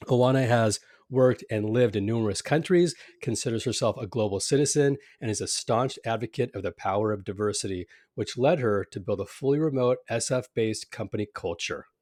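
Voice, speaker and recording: A man's voice: mid-range voice, a little mellow, no room sound, clean studio sound. A man who grew up in California, hosting a podcast interview with a read-out intro and loosely phrased friendly questions. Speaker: podcast host.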